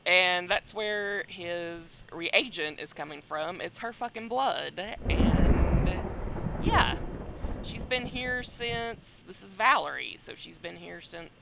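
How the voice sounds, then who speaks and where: A woman game streamer giving casual live horror game commentary in a halting, reactive way. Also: lowish voice, animated, gravelly, sharp tone. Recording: slightly dull audio, faint noise.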